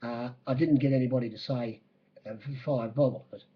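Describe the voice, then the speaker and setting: A husky, croaky Australian man recaps horse races. He speaks haltingly and matter-of-factly, like someone working from memory as a bookmaker. The audio is a little dull and lo-fi. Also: mid-range voice, fairly even intonation. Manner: halting, hesitant, matter-of-fact. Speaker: racing bookmaker giving a race-day report. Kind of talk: spoken horse racing recap.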